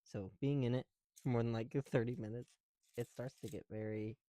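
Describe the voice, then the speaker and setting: Breathy masked man in dry, casual ASMR chat. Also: medium pitch, steady tone, brisk, dry recording. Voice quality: audible breathing through a thick mask. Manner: sweary, teasing, informal rambling; drifts between soft whispering and louder talk. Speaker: ASMR creator. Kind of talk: casual first-person ASMR build chat. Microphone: close mic, speaking through a mask.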